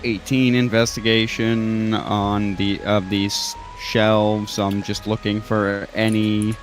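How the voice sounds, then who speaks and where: A man's voice: lowish voice, level tone, slow. A man playing a tabletop game, chatting casually and hesitating as he thinks aloud. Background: background music.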